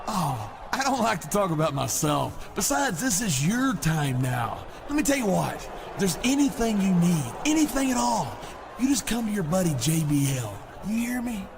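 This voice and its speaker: An actor playing a loud, boastful wrestling champion in a video game cutscene who is fake-friendly and then turns to insults and threats. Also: male voice, high-pitched, sing-song, moderate pace, gravelly, exaggerated voice.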